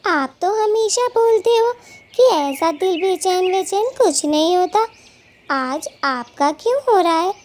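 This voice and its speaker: Tiny, very high cartoon mother-hen bird. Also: lively intonation, slow pace, darkish tone, heavily acted. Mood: caring, worried. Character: protective, caring, feisty little female bird, a wife. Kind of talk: children's cartoon story acting.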